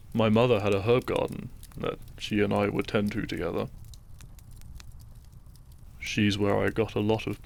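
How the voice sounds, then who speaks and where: Casting: male actor for a gentle farm-raised doctor in an audio romance; soft-spoken, measured, low with some fry. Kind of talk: romantic audio roleplay addressed to the listener.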